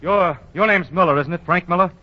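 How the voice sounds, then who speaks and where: A man's voice: sits high, fairly quick, heavy background noise, natural voice. An actor playing a harried young man who stammers when flustered, in a 1940s radio drama. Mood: pressing. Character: harried young man, the old man's son. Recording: dull old radio broadcast.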